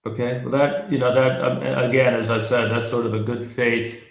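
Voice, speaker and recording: Gravelly, flat-voiced man chairing a hearing. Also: medium pitch. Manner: halting, many fillers and restarts. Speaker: commission chair. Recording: echoey room sound.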